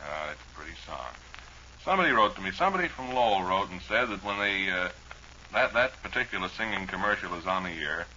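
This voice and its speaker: Male 1940s radio host, low and forceful voice, rambling mock-serious mail-reading chat, muffled vintage broadcast sound. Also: a little hiss or hum. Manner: rambling, dryly humorous, mock-serious asides.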